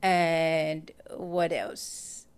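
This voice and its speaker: A woman's voice: sits low, little pitch movement, drawn-out words, clean tone. A woman in her twenties from Ghana, a guest chatting casually in a live-streamed interview, hesitant and repeating her words.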